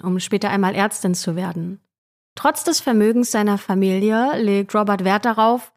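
A woman co-hosts a true-crime podcast, telling a scripted story in a conversational way that addresses listeners. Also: mid-range voice. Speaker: podcast co-host.

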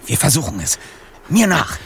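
An actor playing a clever, determined young detective in an audio drama. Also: male voice, medium pitch, wildly swooping pitch, normal speed, gravelly, heavy background noise. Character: determined young male detective leading his companion, clever.

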